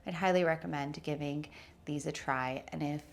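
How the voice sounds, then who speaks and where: A woman's voice: sits low, steady tone, moderate pace, gravelly. A woman in her thirties presenting a product review to camera in a conversational, emphatic way. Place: indoor showroom with wood-slat wall.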